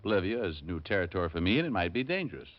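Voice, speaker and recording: A muffled old radio recording of a cocky American private detective. His voice sits low and moves freely up and down. He delivers everything with wry, glib, sardonic ease. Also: big pitch swings, very fast. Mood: wry, businesslike. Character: wisecracking, cocky male private detective for hire. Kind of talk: 1950s radio drama acting.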